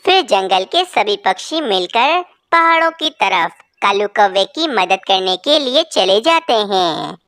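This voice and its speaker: A woman's voice: medium pitch, very animated, measured pace, hardly any pauses, a bit bright, no room sound, studio quality. A woman narrating a scripted children's story.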